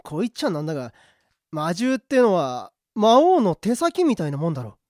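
A man acting a gruff but kind-hearted prison guard in an amateur radio drama, with blunt, slangy talk. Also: high voice, wildly swooping pitch, a little fast, darkish tone, no room sound, clean studio sound. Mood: gruff kindness.

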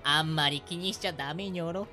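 A woman voicing a goofy, whiny, soft-hearted monster who wants to be liked, in an amateur audio drama. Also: low-pitched, fast talker, faint music behind. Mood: wistful. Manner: cutesy, whiny, with a quirky verbal tic ending sentences.

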